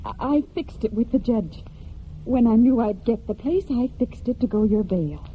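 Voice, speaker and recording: A woman's voice: on the high side, lively intonation, normal speed, slight background noise, close to an everyday voice. An actress playing an earnest, steadfast young farm woman in a 1940s radio drama. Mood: pleading. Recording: dull 1940s radio broadcast.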